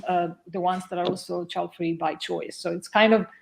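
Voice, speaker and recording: A woman's voice: lowish voice, steady tone, normal speed, gravelly, a little hiss or hum. A woman from Italy, a guest on a video call, talking cheerfully and chattily about herself. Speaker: guest speaker. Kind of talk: casual video call chat.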